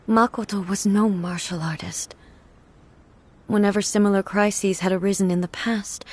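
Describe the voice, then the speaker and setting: A woman playing a dignified, ageless female ruler in a video game, speaking in a formal, measured, grave and reflective manner. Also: mid-range voice, a little hiss or hum, natural voice. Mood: solemn, reflective. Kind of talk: video game character dialogue. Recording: music under the voice.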